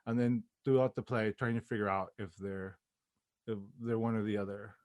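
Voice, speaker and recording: A man's voice: lowish voice, fairly even intonation, normal speed, darkish tone, dry recording. American man chatting casually, dry close sound. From Los Angeles, United States. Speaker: playwright, interview guest. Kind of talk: casual interview conversation.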